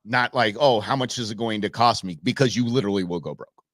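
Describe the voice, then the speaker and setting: A man's voice: lowish voice, quick pace, a bit bright, no echo, studio quality. A man in his forties hosting a podcast, talking in a blunt, emphatic way. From United States. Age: 40s. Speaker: podcast host. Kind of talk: casual political talk.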